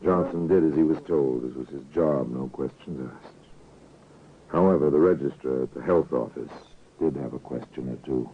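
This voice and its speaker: A man's voice: very deep voice, moderate pace. An actor as a grave, solemn male narrator of crime stories, in a portentous old-time radio style on a tinny vintage recording. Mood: grave, ominous.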